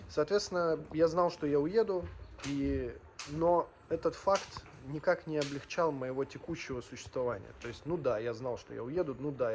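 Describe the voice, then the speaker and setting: A man's voice: medium pitch, a little mellow, a little hiss or hum. A man in his thirties from Ukraine and Russia telling his personal story as a vlogger, emphatic and earnest, repeating phrases for weight. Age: 30s.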